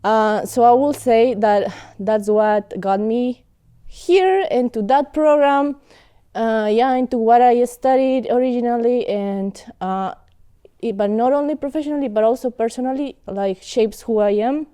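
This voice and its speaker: Young woman, Spanish native speaker with a Colombian accent, smooth mellow voice, hesitant interview talk. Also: fairly high pitch, a little slow. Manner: hesitant, many fillers and restarts. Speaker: guest, student. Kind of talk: casual interview chat.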